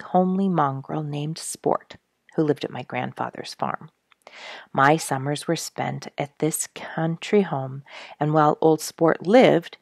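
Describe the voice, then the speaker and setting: A woman narrates a storybook in a low voice with a gravelly creak at the edges. She reads in measured, expressive phrases, like a host telling a tale. The sound is dry, with very little room around it. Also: dry recording. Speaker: story reader, show host. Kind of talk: scripted storybook narration read aloud.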